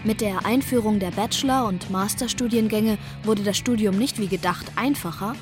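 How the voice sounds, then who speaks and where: A woman in her twenties reading a scripted, reflective narration calmly. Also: medium pitch, normal speed. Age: 20s. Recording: music underneath.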